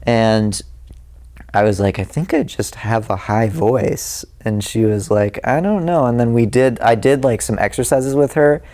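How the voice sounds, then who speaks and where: A man's voice: mid-range voice, measured pace, warm tone. A man guesting on a podcast as a musician, rambling and self-deprecating, laughing often. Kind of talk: casual interview chat.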